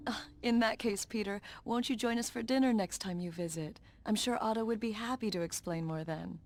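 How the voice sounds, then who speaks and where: A woman playing a gracious, gently teasing scientist's wife in a video game, with a hospitable manner. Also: medium pitch, brisk, a little hiss or hum, close to an everyday voice.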